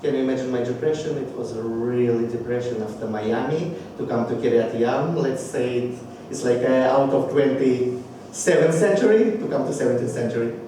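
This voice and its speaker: A man in his thirties from Belarus with a Russian accent, telling his life story to a speaking club and often pausing to search for words. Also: medium pitch, warm tone. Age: 30s. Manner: halting, searching for words in a second language. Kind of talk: personal life story speech. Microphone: distant microphone. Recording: echoey room from far off, background noise.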